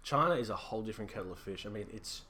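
A man's voice: on the low side, lively intonation, rapid-fire, pushed and bright. A young man from Australia, a guest chatting casually on a podcast, rambling and laughing often as he talks.

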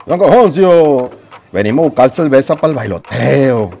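A man's voice: mid-range voice, animated, normal speed, creaky, darkish tone. An actor playing an easygoing, cheeky village man out partying in a radio soap. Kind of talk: radionovela acting.

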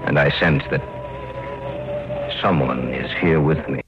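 Very deep, solemn, dread-gripped man. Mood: solemn, uneasy. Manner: solemn, halting, dramatic. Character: grave man gripped by creeping dread after reading manuscript. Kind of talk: 1940s radio horror acting. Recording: muffled old radio recording, music behind.

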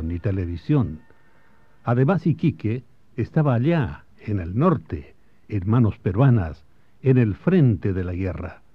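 A man playing a solemn storyteller, narrating patriotic history and memories in a measured, literary radio drama style. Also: medium pitch, husky. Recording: muffled radio broadcast, faint music behind.

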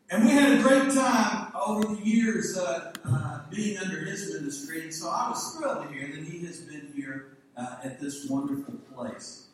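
A man's voice: on the high side, expressive, unhurried, a little hiss or hum. A man in his sixties with a Southern US accent, preaching a sermon in a folksy, joking, rambling way. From Southern United States. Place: church hall. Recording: echoey hall mic from far off.

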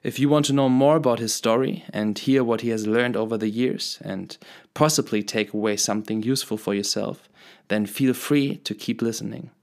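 A man's voice: on the low side, moderate pace, vocal fry, a little mellow. A man reading a scripted introduction to a podcast episode in an earnest tone. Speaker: podcast host.

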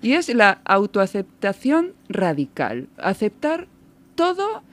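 Spanish woman, neutral accent, animated guest in casual radio chat. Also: medium pitch, slow pace. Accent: neutral Spanish from Spain. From Spain.

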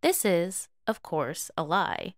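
A woman reading fiction aloud as a podfic narrator in an expressive, scripted storytelling style. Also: fairly low pitch, slow pace, dry recording, clean studio sound.

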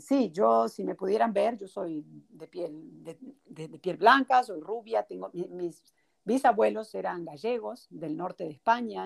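A woman from Uruguay speaks with a Uruguayan accent, her voice deeply gravelly and breathy. She talks thoughtfully as an interview guest, often filling pauses with "este". The tone is of a teacher sharing experience. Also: darkish tone. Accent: Uruguayan Spanish. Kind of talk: conversational interview.

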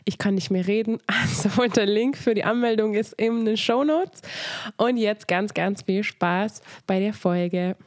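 A young woman with a cold-strained voice hosts a relaxed podcast chat over a video call. She is gushing and chatty, her voice sits mid-range, and the sound is dry and close. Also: medium pitch, a little slow, dry recording. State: sick, voice strained. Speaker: podcast host.

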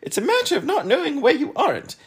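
A man's voice: extremely high pitch, huge pitch swings, quick pace, voice pushed up, full character voice. A man voicing an airy young boy who talks in riddles with a breezy, know-it-all manner. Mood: smug, airy.

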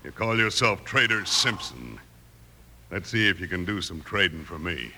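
An actor playing a ruthless, impatient rancher villain who barks curt, bullying demands in a 1950s Western radio drama. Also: male voice, deep voice, lively intonation, normal speed, pushed and bright, heavily acted. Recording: noisy vintage 1950s radio recording.

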